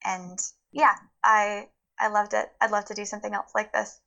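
A woman's voice: mid-range voice, flat delivery, moderate pace, creaky, bright, forceful tone, lo-fi sound. A woman talking casually and emphatically as an interview guest about her work as a performer. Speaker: interview guest, voice actor and audio play producer.

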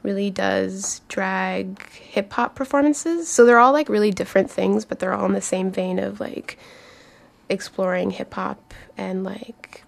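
A woman's voice: mid-range voice, steady tone, unhurried, vocal fry. A woman guest in a radio studio, answering casually and chattily, with frequent fillers. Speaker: guest writer.

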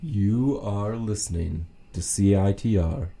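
A man's voice: on the low side, takes it slowly, creaky, mellow. A man co-hosting a casual radio show, talking in a dry, understated and somewhat hesitant way. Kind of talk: casual co-hosted radio chat. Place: radio studio.

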